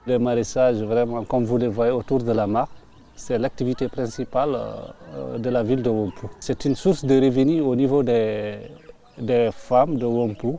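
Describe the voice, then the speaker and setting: A man's voice: mid-range voice, steady tone, normal speed, creaky, mellow. A man explaining local activities on site, outdoors, for a documentary.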